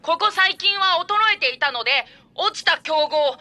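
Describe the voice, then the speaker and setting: Woman playing a teen anime boy, very high voice, bright and sharp, overexcited, boastful, drama CD. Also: lively intonation, measured pace, full character voice. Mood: announcing. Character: energetic boastful teenage boy volleyball player.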